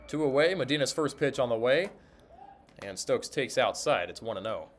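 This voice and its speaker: A man's voice: medium pitch, lively intonation, normal speed, a little hiss or hum. A man giving radio play-by-play commentary of a baseball game as the announcer.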